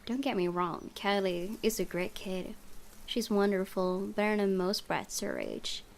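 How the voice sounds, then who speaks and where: A woman reading visual novel narration aloud for a let's play, with expressive, theatrical storytelling. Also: mid-range voice, a little slow, vocal fry, slight background noise. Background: music.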